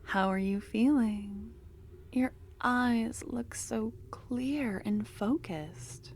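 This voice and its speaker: A woman voicing an obsessive, possessive female cultist lover in an ASMR roleplay, talking softly and slipping into whispers, tender yet menacing. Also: on the high side, extremely slow, a little hiss or hum. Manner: soft-spoken, drifting into whispers.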